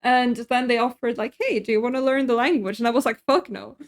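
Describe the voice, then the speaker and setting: A young woman with a high, light voice chats with her viewers as she cooks at home. She, laughs easily, and answers chat messages as they come in. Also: brisk. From Sweden. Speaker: streamer. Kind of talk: casual chat while cooking on stream.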